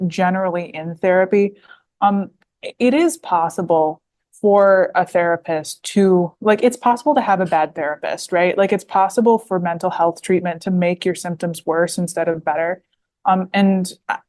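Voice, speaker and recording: Woman in her 20s on a podcast, kinda low voice, says like and um constantly. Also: a little mellow. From United States. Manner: rambling, heavy with fillers and restarts. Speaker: guest author. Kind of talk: podcast interview.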